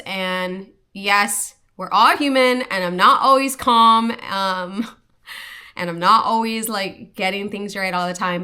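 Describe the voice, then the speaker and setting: A woman's voice: fairly low pitch, pushed and bright. A young woman from Hawaii talking casually and earnestly to camera, with lots of "like" and restarts. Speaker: vlogger. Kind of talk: casual personal talk to camera.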